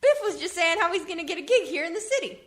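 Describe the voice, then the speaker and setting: A woman's voice: extremely high pitch, animated, quick pace. A woman playing the chirpy, wisecracking grown child of a jazz family in a comic radio play. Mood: chipper, teasing.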